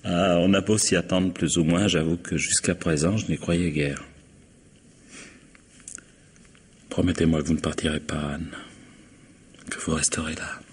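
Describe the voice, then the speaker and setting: An actor playing a courteous, resigned middle-aged widower accused of murder, in 1950s French radio drama style, with a husky voice. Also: male voice, low voice, flat delivery, normal speed, big-room sound, a little hiss or hum, lightly acted. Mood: resigned, tender. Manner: polite, resigned, wry.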